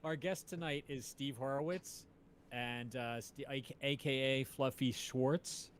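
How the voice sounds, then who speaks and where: A man hosting a live stream, chatting casually with a guest in a joking, rambling, halting way. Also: medium pitch, fairly even intonation, slight background noise. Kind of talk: casual chat, interviewing a guest.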